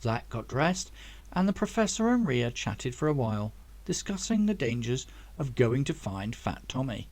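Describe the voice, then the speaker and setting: A man's voice: mid-range voice, big pitch swings, moderate pace, a little mellow. A man reading a story aloud as scripted audiobook narration, as the author of the book.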